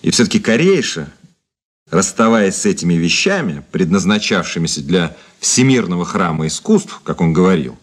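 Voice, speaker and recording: This is a deep-voiced man in a Soviet radio play, a cynical ex-detective. He speaks in measured, theatrical phrases with grand irony and mockery. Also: big pitch swings. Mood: grave, measured. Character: cynical, ironic former detective officer and turncoat, grandly mocking. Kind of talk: Soviet radio drama acting.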